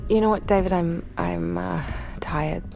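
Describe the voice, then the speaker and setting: A woman's voice: lowish voice, measured pace, vocal fry, dark tone, a little hiss or hum. An actress playing a tired, hesitant woman in a naturalistic fiction podcast. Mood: weary.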